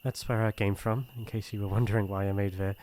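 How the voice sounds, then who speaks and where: A man with a British accent playing a shy, earnest young crown prince in an ASMR roleplay, speaking in formal, hesitant, gentle phrases. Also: on the low side, fairly even intonation, fast, natural voice. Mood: downcast. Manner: formal courtly phrasing, hesitant and soft-hearted.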